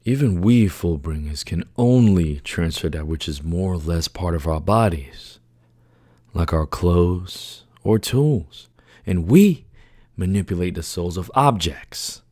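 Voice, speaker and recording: A man's voice: low voice, slow, a little mellow, dry recording, studio quality. A man playing a cool, articulate supernatural fighter who muses and explains pedantically in an anime fan drama. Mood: musing, explanatory.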